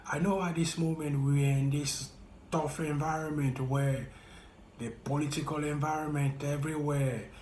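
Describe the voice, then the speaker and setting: A man with a French accent speaking earnestly and haltingly to camera as a YouTuber, repeating himself as he reaches out to viewers. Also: on the high side, fairly even intonation, darkish tone, roomy sound, slight background noise. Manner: slow, earnest, halting and repetitive. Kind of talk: heartfelt direct-to-camera talk.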